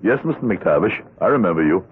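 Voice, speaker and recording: An actor playing an evasive, buttoned-up accountant in a 1970s radio drama. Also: male voice, low-pitched, sing-song, brisk, a little hiss or hum. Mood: formal, guarded. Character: evasive, buttoned-up accountant leading a double life. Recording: thin lo-fi vintage radio recording.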